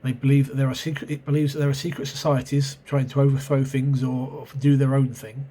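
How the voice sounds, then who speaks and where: A man's voice: medium pitch, little pitch movement, fast talker, mellow. A man with a cold and sore throat hosting his own video, rambling and hesitant as he recalls an old role-playing game, recorded on a camera mic at home. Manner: rambling, hesitant, many ums and self-corrections. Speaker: channel host reviewing role-playing games. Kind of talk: casual spoken video review.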